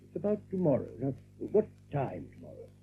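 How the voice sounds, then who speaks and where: Hesitant rabbit, animated pitch. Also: male voice, a little fast, vocal fry, phone-quality sound. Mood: anxious. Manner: hesitant, apologetic. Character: anxious, hesitant male rabbit seeking reassurance. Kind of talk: audiobook drama acting. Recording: thin band-limited recording with faint music.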